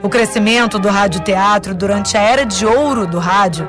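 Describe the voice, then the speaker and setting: A woman's voice: mid-range voice, moderate pace, music in the background. A woman with a Brazilian accent reading scripted narration for a radio documentary.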